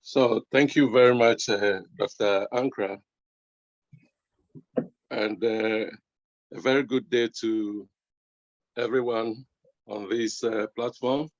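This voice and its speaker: A man's voice: on the low side, fairly even intonation, slow, pauses often, no room sound. A man from Ghana introduces himself over a video call, hesitating often with fillers. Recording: dull dry video call audio.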